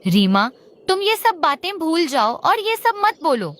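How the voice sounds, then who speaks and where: A woman's voice: extremely high pitch, big pitch swings, a little slow, heavily acted. A woman voicing a kind, reassuring mother bird in a cartoon story. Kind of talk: Hindi cartoon story dialogue.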